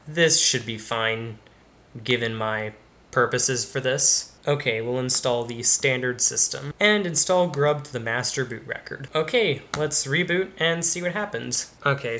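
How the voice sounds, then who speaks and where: A man's voice: medium pitch, pushed and bright. Young man narrating a computer walkthrough. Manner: casual, step-by-step, talks through each click. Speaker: tech channel host. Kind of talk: screen-recorded tutorial narration. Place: bedroom studio with computer screens behind.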